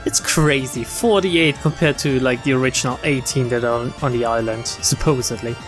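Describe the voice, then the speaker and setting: A man's voice: medium pitch, moderate pace, over music. A man streaming a game, reading story text aloud and adding casual comments. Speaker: streamer and narrator.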